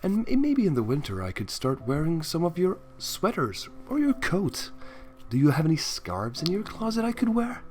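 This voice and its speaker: A man voicing a shy, infatuated young female cartoon pony, soft, hesitant and coy, in a story reading. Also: fairly high pitch, wildly swooping pitch, normal speed, soft background music, pitched above the performer's own voice. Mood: coy, pleading.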